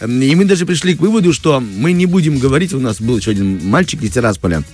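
A man with a mid-pitched voice chats as a morning radio host. His talk is informal and rambling with a joking streak, over background music. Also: expressive, quick pace, darkish tone. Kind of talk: casual chat. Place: radio studio.

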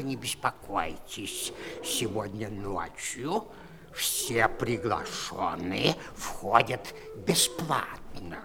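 An actor playing a courteous, fussy, grumbly bear gatekeeper in a children's audio play. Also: male voice, lowish voice, creaky, noisy background, full character voice. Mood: soothing, welcoming. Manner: polite, unhurried, grumbly, humming hesitations.

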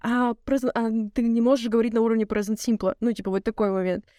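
Young female podcast co-host, quick and filler-heavy, bright-pitched, recorded dry. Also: fairly even intonation, no room sound. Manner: rapid, filler-heavy slangy talk. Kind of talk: casual chat.

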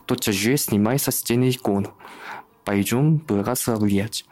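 A man's voice: fairly low pitch, a little slow, darkish tone. A man acting an indignant, scheming father in a radio play, speaking emphatically. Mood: scheming, eager.

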